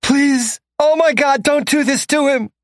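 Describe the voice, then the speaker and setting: A man's voice: very high voice, unhurried, gravelly, dry recording, clean studio sound, pitched above the performer's own voice, exaggerated voice. A man voicing a terrified young woman held captive, screaming and pleading.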